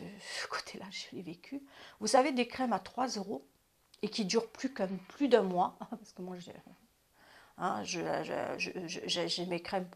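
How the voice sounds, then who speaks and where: A woman's voice: medium pitch, creaky. Chatty woman in her fifties giving advice. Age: 50s. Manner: chatty, repeats and restarts, drops to asides. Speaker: advice channel host. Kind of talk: casual spoken advice.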